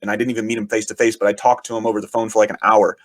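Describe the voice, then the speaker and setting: A man, a podcast guest who is also a podcast host, talking in rambling, enthusiastic casual chat, his voice raspy from shouting. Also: lowish voice, little pitch movement, racing, no room sound. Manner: rambling, enthusiastic, frequent swearing.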